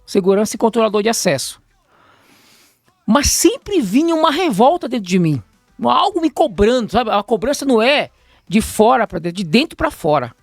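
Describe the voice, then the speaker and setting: A middle-aged Brazilian man from Pará, a pastor and mentor, speaking in a calm conversational way with a measured preaching cadence. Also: extremely high pitch, no echo, studio quality, faint music behind. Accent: Brazilian Portuguese, from Belém do Pará. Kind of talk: calm conversational talk-show guest.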